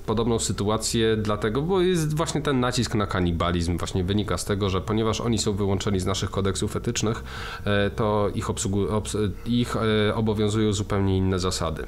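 A man's voice: fairly low pitch, a little fast, slight background noise. A man co-hosting a film podcast, talking through his ideas with many restarts and fillers. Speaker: podcast co-host. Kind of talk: casual film discussion.

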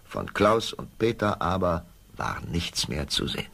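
A man narrating a scripted fairy tale for an audio play in a gravelly voice. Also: deep voice, animated, measured pace, fairly bright tone.

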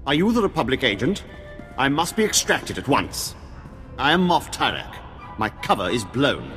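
An actor playing a pompous, demanding Imperial official in a video game, speaking formally and imperiously. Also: male voice, medium pitch, very animated, moderate pace, fairly bright tone, faint music behind. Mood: imperious, anxious. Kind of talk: video game cutscene acting. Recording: long reverb.

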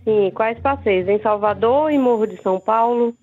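A woman's voice: a little high, normal speed, darkish tone, faint music behind. A woman working as a tour agent, patiently explaining trips over the phone. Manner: patient, informative. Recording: phone line.

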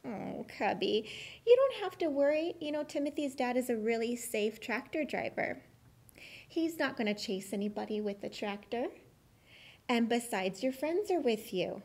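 A woman's voice: a little high, animated, normal speed, a little mellow. A young woman hosting a children's puppet show, speaking simply to little ones in a coaxing, reassuring way. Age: young adult. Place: small classroom, indoors, seated at a table.

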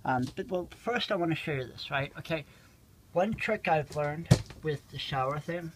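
A man's voice: a little high, expressive, moderate pace, background noise. A man giving a casual, unscripted vlog tour, explaining things in a rambling, repetitive way on amateur handheld camera audio. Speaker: vlogger showing his motorhome. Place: small motorhome bathroom, indoors. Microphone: handheld camera or phone, held by speaker.

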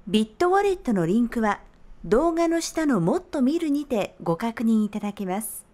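A woman's voice: fairly high pitch, big pitch swings, normal speed, warm tone. A woman radio DJ reading scripted voice-over narration.

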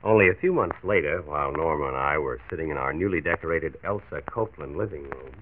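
An actor playing a genial health commissioner who narrates a 1940s radio drama. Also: male voice, a bass voice, expressive, fast talker. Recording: tinny, noisy 1940s transcription disc.

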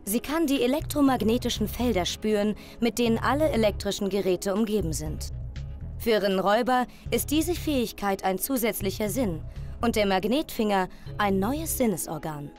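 A woman's voice: medium pitch, animated, moderate pace, a little mellow, soft background music. A woman reading a scripted documentary voice-over in pronunciation. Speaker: documentary narrator.

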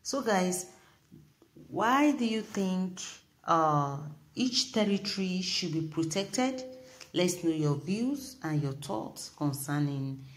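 A woman presenting an online news report, reading with pauses, fillers and restarts. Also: lowish voice, animated, low-quality recording. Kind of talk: read news report with commentary.

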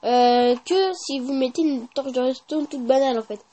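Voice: high voice, moderate pace, warm tone, a little hiss or hum. A gaming YouTuber giving casual, informal gameplay commentary with slang and swearing.

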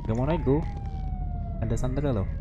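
A man playing a roleplay game, chatting casually and excitedly, repeating short commands and swearing. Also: medium pitch, normal speed, muffled recording. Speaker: player in a GTA roleplay game. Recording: loud game sirens and vehicle noise behind.

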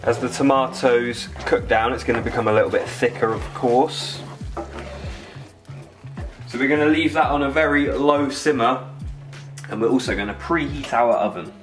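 A British man in his twenties presents a cooking tutorial in a medium-pitched voice. He is informal and enthusiastic, chatting casually as he works in a home kitchen. Music plays clearly behind him. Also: moderate pace, pauses often. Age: 20s. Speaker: cooking video host.

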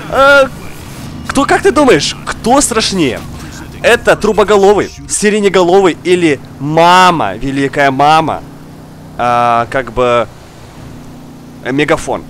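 High-pitched, animated young man gaming, slightly sick. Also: takes it slowly, pauses often, a little hiss or hum. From outside Russia. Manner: animated, exclaiming, repeats words, talks to viewer. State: slightly ill, coughing now and then. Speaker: gaming streamer. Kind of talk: excitable casual gameplay commentary. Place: indoor room, seated at racing wheel.

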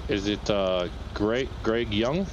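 A man's voice: lowish voice, slow pace, dull audio. A man playing a genial, joking sheriff's deputy in improvised game role-play, casual and somewhat hesitant. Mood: businesslike, friendly. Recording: noisy game voice chat, vehicle ambience.

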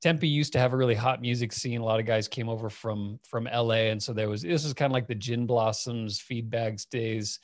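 A man in his late forties, who grew up in Phoenix, talks through a headset from a home office. His voice is mid-pitched and the recording is dry and close. He tells long stories at an easy pace and fills his pauses with "um". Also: dry recording. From Phoenix, Arizona. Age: 40s. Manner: relaxed, rambling, many fillers. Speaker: guest, company founder. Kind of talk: casual storytelling interview. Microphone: headset over video call.

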